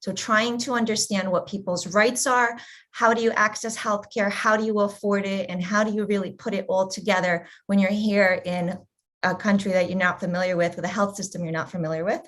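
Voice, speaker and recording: A woman in her forties, a patient advocate, telling her personal story in an earnest, measured way on a panel discussion. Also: mid-range voice, fairly even intonation, fairly bright tone.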